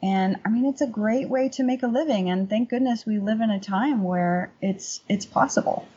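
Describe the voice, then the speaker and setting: A woman with a husky voice giving casual, conversational answers as an interview guest. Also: on the high side, moderate pace, mellow, highs cut off. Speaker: podcast guest, painter and workshop teacher.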